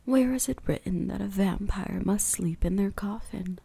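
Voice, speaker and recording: A woman's voice: medium pitch, normal speed, dark tone. A woman playing an ancient, predatory, seductive vampire huntress in an intimate ASMR roleplay, whispery, teasing and condescending. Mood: condescending, amused. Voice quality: breathy, whispery.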